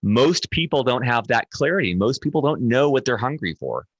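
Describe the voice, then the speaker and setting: A man's voice: medium pitch, lively intonation, normal speed, husky, dry recording, lo-fi sound. A man appearing as a podcast guest, talking in an encouraging way and often answering with short affirmations. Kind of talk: casual podcast conversation.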